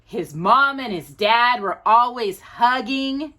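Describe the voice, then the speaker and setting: A woman hosting a children's storytime, reading a picture book with playful, drawn-out emphasis and chatty asides to a companion. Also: on the high side, animated, bright, forceful tone. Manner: addresses a companion directly while reading.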